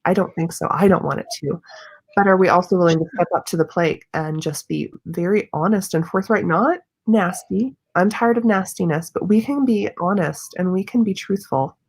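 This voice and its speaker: Woman with a lowish voice, earnest and opinionated, casual chat. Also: normal speed, slightly rough.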